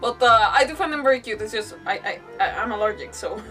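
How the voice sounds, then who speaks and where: A woman's voice: a little high, expressive, fast, sharp tone. A woman game streamer chatting casually and commenting on her play in a rambling, hesitant way. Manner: rambling, hesitant, mild substitute swearwords. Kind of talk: casual livestream chat, gameplay commentary. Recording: distant mic, faint music behind. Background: faint game music.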